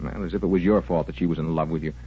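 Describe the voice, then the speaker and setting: An actor playing a blunt, halting, self-deprecating veteran in a 1940s-style radio soap. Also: male voice, deep voice, fairly even intonation, husky, full character voice. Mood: apologetic, earnest. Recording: muffled vintage radio drama recording.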